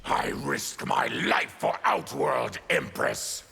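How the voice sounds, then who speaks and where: An actor playing a fierce, proud, embittered mutant warrior in a fighting game, growling and deliberate. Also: male voice, mid-range voice, wildly swooping pitch, sharp tone, heavily acted. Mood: bitter, proud. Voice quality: gravelly, growling. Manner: menacing, deliberate. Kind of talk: fighting game character dialogue.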